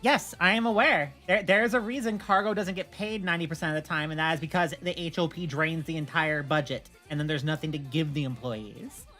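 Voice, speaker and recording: A woman's voice: low-pitched, steady tone, brisk, hardly any pauses, fairly bright tone. A woman streamer talking casually on a livestream, making her points in a firm, emphatic, argumentative way. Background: music.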